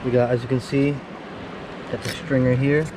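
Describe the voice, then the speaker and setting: A man's voice: mid-range voice, flat delivery. A young man chatting casually to his viewers in a laid-back, slangy way, like an outdoor vlog host, recorded outside on an action camera. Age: young adult. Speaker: vlog host, hobby angler. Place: outdoors on a rocky riverbank. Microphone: action camera at arm's length or body-held. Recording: clearly noisy outdoor recording, rushing river. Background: rushing stream water.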